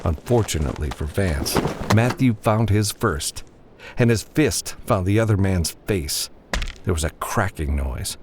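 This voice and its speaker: Male narrator, low-pitched, animated intonation, dramatic fiction reading, faint ambient noise behind. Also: a little slow. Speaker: audiobook narrator.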